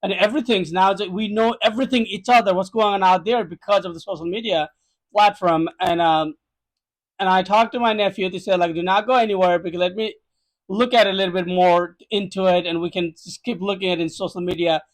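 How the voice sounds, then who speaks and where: A man's voice: sits high, steady tone, brisk, rough recording. A man from Nepal with a Nepali accent, giving a conversational first-hand account as a podcast guest in emphatic, repetitive non-native English phrasing.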